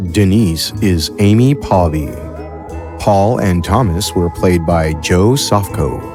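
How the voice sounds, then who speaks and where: Deep-voiced man reading credits over music. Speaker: announcer reading cast credits. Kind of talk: scripted announcement.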